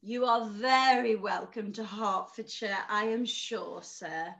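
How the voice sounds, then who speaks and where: A middle-aged woman playing an excitable, effusive mother in a period radio play. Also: medium pitch, slow. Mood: gushing. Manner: effusive, dramatic, breathy laughs and gasps. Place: small room at home, indoors. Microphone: video call.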